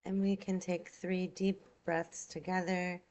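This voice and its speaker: A woman yoga nidra instructor leading a guided meditation in a soothing, unhurried, gentle manner, leaving long pauses. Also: lowish voice, flat delivery.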